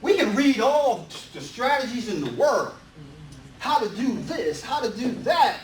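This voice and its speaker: A man's voice: very high voice, very animated, measured pace. A man giving a motivational seminar presentation in an emphatic, repetitive way, full of rhetorical questions. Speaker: real estate seminar presenter. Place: indoor meetup presentation room. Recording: distant, echoey live room with background noise.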